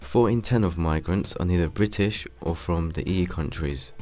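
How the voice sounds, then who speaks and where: Deep-voiced male documentary narrator. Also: moderate pace. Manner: steady, formal. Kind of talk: scripted narration. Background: soft music.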